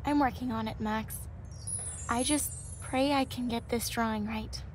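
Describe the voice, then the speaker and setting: A woman acting a gentle, devout young student in a video game, fragile but hopeful, earnest and sincere. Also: fairly high pitch, fairly even intonation, unhurried, faint music behind, natural voice. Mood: earnest, reflective. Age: young adult. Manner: soft-hearted, earnest, sincere.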